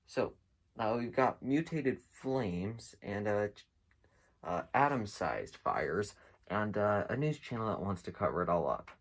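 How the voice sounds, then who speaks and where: Low, gravelly man, slow and halting. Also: lively intonation. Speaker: host of an internet mystery series. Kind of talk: casual unscripted video commentary.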